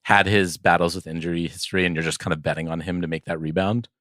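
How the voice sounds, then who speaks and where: A man's voice: deep voice, fairly even intonation, quick pace, fairly bright tone, no room sound, clean studio sound. A man co-hosting a podcast, chatting casually about sports in a thoughtful, conversational, slightly hedging way. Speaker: podcast co-host.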